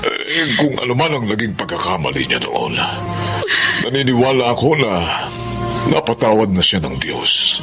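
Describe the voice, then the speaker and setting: A man's voice: fairly low pitch, very animated, normal speed. An actor with a Filipino accent playing a kindly, accepting older father in a radio drama. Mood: gentle, reflective. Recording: prominent music behind the voice.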